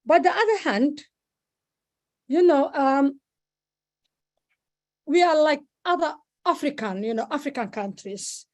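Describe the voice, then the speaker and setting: A woman from Somalia with a Somali accent speaks at an online meeting over a video call, halting and repeating words, with many fillers. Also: sits high, expressive. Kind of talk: informal speech at an online meeting.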